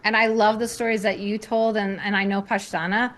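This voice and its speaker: A woman's voice: medium pitch, flat delivery, a little fast, fairly bright tone. A woman speaking as a panelist in an informal, rambling, conversational way. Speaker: panelist working with clients. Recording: noticeable background noise.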